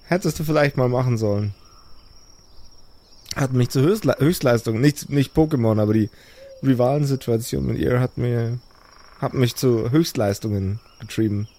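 Man playing a tough, halting woman warrior. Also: medium pitch, dark tone, natural voice. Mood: dry, wry. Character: tough chain-smoking woman warrior, battle-scarred, dry-humored.

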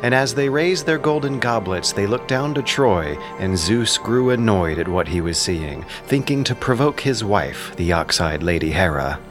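A man narrating a scripted ancient epic as a measured, solemn storyteller. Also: on the low side, expressive. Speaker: narrator and adapter. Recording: music clearly behind the voice.

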